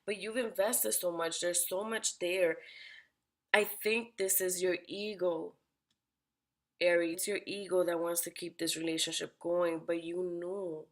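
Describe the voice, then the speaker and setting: A woman giving a weekly tarot horoscope reading, direct and questioning, her voice raspy from being under the weather. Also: fairly low pitch, little pitch movement, a little slow. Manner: direct, questioning, addresses the listener by sign. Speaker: tarot reader.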